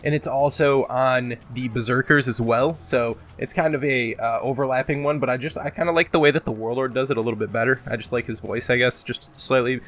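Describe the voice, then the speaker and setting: A man's voice: mid-range voice, steady tone, brisk, hardly any pauses, fairly bright tone, soft background music. A man casually hosting a gaming countdown video, talking in a joking, exaggerating way with some swearing. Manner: belts out game voice lines. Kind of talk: casual top-ten countdown commentary.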